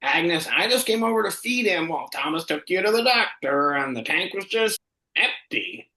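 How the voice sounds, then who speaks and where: A man's voice: sits high, lively intonation, normal speed, pushed and bright, reverberant, heavily acted. A man playing an awkward, reasonable family friend, hesitant and placating, in a live comedy script reading.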